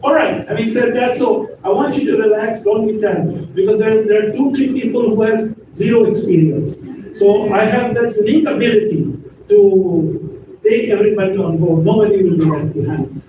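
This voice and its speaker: A man's voice: high-pitched, normal speed. An older man giving a chatty, joking talk to a university audience as guest speaker. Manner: chatty, jokes and laughs with the audience. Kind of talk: informal lecture to an audience. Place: university lecture hall. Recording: echoey room, distant mic, dull lo-fi sound with noise.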